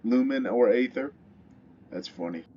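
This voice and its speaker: A man's voice: mid-range voice, measured pace, breathy edge, lo-fi sound. A man giving casual gameplay commentary as he reacts to a video game. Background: game music.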